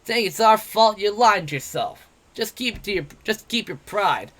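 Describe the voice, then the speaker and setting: A man voicing a brash, mocking, drunk male wolf-man adventurer, loud and jeering. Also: high-pitched, expressive, normal speed, voice pushed up. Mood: sneering.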